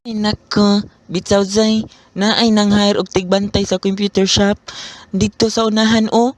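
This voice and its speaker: A man's voice: high-pitched, little pitch movement, measured pace, faint music behind. A man with a Cebuano accent playing a playful, teasing best friend in a student radio drama. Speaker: friend Bentong.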